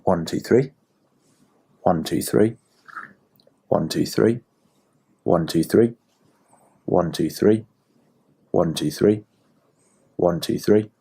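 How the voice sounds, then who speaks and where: Deep, husky man counting quietly. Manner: quiet, slow, compliant counting. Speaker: patient.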